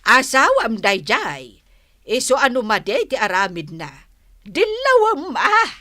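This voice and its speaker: Swooping, high-voiced older nagging woman. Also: wildly swooping pitch, takes it slowly, sharp tone, no room sound, studio quality, heavily acted. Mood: insistent advice. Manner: emphatic, exclaiming, admonishing. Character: older motherly woman storekeeper, earnest, nagging advice-giver. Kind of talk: Filipino radio drama acting.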